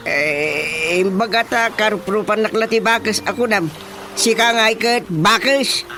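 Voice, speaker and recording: A woman's voice: medium pitch, measured pace, lightly acted. A woman playing a flustered, stammering character in a radio comedy drama, full of groans and exclamations. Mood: reluctant. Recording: clear music behind the voice.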